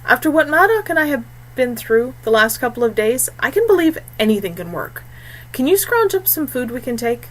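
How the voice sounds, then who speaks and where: A woman's voice: a little high, very animated, brisk, a bit bright, pitched above the performer's own voice, rough recording. A woman voicing a resolute, protective young man, heir to a fort, firm and blunt, in an audiobook.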